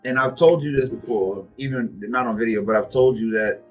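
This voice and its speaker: A man with an American accent and a husky voice, telling anecdotes in casual, colloquial vlog chat. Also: mid-range voice, a little fast. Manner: colloquial, acts out quoted exchanges. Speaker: vlog co-host. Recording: dull lo-fi audio, music underneath.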